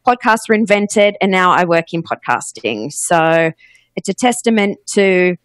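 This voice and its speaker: A woman's voice: fairly low pitch, expressive, measured pace, no echo. A woman in her forties with an Australian accent, chatting as a guest in an upbeat, talkative way. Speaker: podcast agency head, guest. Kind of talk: conversational interview.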